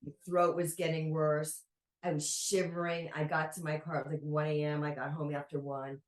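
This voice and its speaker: A woman guest on a podcast, telling a personal story at an unhurried, reflective pace. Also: sits low, flat delivery. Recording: roomy echo.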